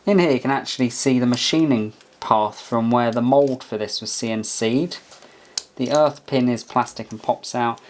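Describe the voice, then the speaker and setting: A man talks through a product in a casual, unscripted way, thinking out loud as he goes. His voice sits at an average pitch, and there is noticeable background noise with small clicks from handling. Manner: musing aloud while inspecting items. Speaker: product reviewer. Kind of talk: casual unboxing and review commentary.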